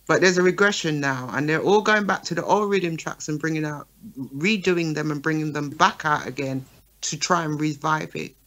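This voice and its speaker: A woman's voice: sits low, normal speed. A woman co-hosting a radio talk show, making her points and often checking in with "right?" and "okay?". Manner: lively, checks in with listeners by asking right and okay. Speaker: talk show co-host, promoter.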